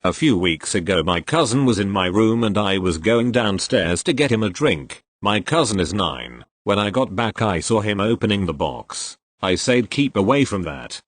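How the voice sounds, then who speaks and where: A man reading online posts aloud as the regular reader of the channel, in an expressive storytelling way. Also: lowish voice, moderate pace, hardly any pauses, dry recording.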